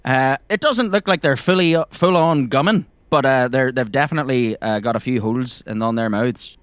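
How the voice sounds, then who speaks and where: A man's voice: mid-range voice, fairly quick, fairly bright tone, clean tone, no room sound. A man with an Irish accent narrating a tabletop game as game master in a descriptive, conversational way.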